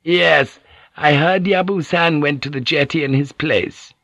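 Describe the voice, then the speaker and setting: A shrewd, deferential woman of old Japan, voiced by a male narrator in a historical audiobook. The pitch is lifted and the tone bright, with insistent, humble courtesy. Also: measured pace, gravelly, no room sound, heavily acted. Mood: courteous. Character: shrewd, deferential Japanese businesswoman pleading with a lady.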